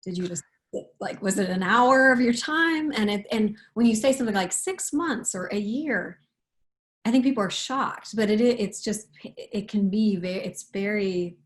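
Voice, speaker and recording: A woman speaking as an author in a casual interview, thoughtful and halting, often restarting and rewording her sentences. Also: medium pitch. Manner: halting, thoughtful, with repeated self-corrections. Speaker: picture book author being interviewed.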